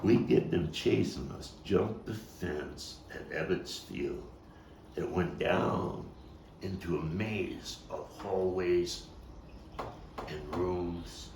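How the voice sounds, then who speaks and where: In a noisy, roomy space some way from the mic, a man acts out a volatile street gang youth bent on revenge. The low-pitched voice delivers a stage monologue slowly and haltingly, with profane intensity and repeated words. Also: darkish tone. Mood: brooding, tense. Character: volatile, vengeful male street gang youth recounting a bloody fight. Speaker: actor and playwright performing his own monologue. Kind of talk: dramatic stage monologue at a table read. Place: echoey room, table read. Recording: echoey, noisy room, distant mic.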